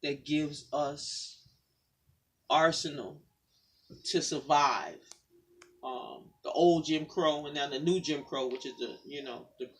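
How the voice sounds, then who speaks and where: A man, a pastor and poet, talking in an animated, preacherly way in a casual faith conversation. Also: on the high side, slow pace, far from the mic.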